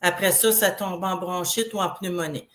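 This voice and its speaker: A woman with a Québécois accent and a head cold, chatting casually as a guest and telling her own health story in a rambling, halting way. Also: fairly low pitch, fairly even intonation. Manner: rambling, halting, full of restarts. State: has a cold, congested. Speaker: guest sharing product testimonial. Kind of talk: casual live chat.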